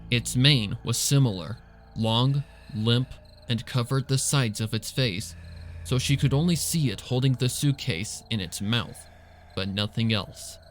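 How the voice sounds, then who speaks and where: A man's voice: medium pitch, measured pace, a little hiss or hum. A man reading a suspenseful story as narrator, in a scripted dramatic storytelling style. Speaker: fan fiction reader and narrator.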